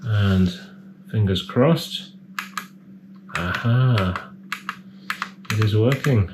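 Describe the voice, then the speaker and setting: A man's voice: on the low side, drawn-out words, noisy background. A man giving casual, hands-on repair commentary as a technician, thinking aloud in a tentative way. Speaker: electronics repair technician presenting a repair.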